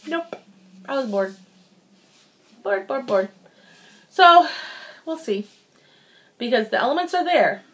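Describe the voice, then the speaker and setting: A woman's voice: sits high, expressive, takes it slowly, pauses often, a bit bright. A woman giving a casual, chatty book review, informal and rambling as she thinks aloud and repeats words for emphasis.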